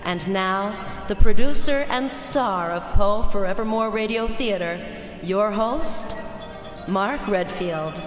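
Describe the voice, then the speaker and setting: A woman's voice: mid-range voice, slow pace. A woman announcing a radio theater show in a projected, proclaiming, theatrical style. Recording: echoey hall with music. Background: music.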